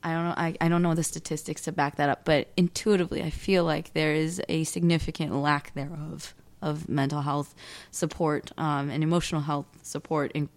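Animated woman in casual chat. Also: deep voice. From United States. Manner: animated, emphatic, quick bursts of speech. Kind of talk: casual conversation.

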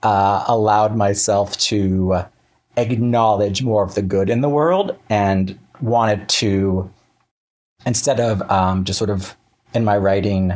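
A man being interviewed as a writer, chatting casually in a wry, halting way with self-interruptions and acted-out asides. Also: fairly low pitch, a little mellow.

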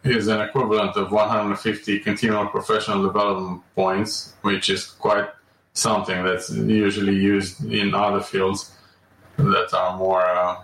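A man from Romania, a podcast guest speaking slowly with frequent ums and uhs. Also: on the low side, level tone, a bit bright. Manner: measured and slow, many ums and uhs. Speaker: guest, alternative medicine practitioner. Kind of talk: podcast interview. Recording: echoey room, distant mic.

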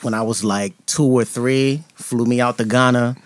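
A man's voice: mid-range voice, fairly even intonation, moderate pace, no room sound. Loose, laughing New man, dry sound. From New York, USA. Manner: laughs often, loose and profane storytelling. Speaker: guest rapper. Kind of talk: casual podcast storytelling.

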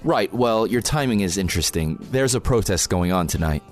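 A blunt, sardonic man, voiced by an actor in an audio drama, wary and dry. His voice sits low but moves around freely, with music beneath it. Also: expressive, a little fast, lightly acted. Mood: blunt concern. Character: dry, wary male protest reporter hosting guests. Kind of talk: fiction podcast acting.

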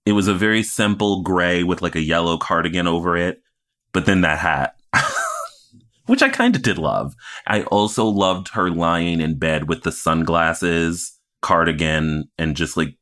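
A podcast host with a low-pitched voice talks through a TV recap. The delivery is casual and conversational, with playful, freely offered opinions. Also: animated, a little slow, a bit bright.

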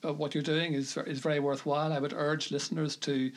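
A man speaking as a podcast guest, thoughtful and halting, repeating words as he goes. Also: fairly high pitch, little pitch movement.

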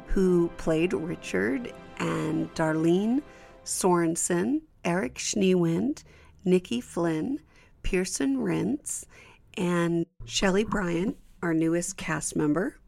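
Low-voiced, creaky woman podcast host. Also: darkish tone. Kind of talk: informal thanks and announcements to listeners.

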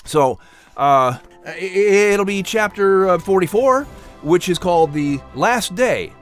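A man's voice: high-pitched, expressive, slow, soft background music. A man working as announcer and narrator, speaking in a scripted storytelling style for an audio show.

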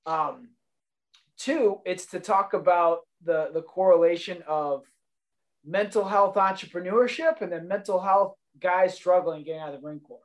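A man opens his podcast with an old Marine friend. His voice sits a little high, and he talks loosely and profanely, full of fillers and casual swearing. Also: normal speed. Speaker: podcast host, Marine veteran.

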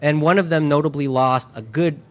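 A man's voice: fairly high pitch, a little fast. A man interviewing a convention organizer in casual chat, hesitant with many restarts, his voice worn and fading. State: losing his voice.

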